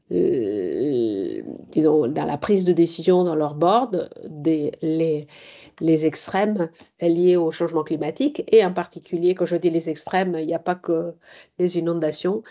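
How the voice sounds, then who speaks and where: A middle-aged woman from Italy, speaking as a guest at a conference with measured, hesitant delivery. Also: on the low side, warm tone. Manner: measured, hesitant with many pauses. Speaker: board chair and guest speaker. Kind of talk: conference talk. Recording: slightly dull lo-fi sound.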